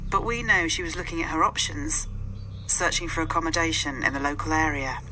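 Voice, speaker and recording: An actress as a low-voiced, probing police detective. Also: female voice, noisy background, close to an everyday voice. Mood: probing, composed. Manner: measured, probing, formal. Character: composed, persistent female police detective questioning a husband. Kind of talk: naturalistic radio drama dialogue.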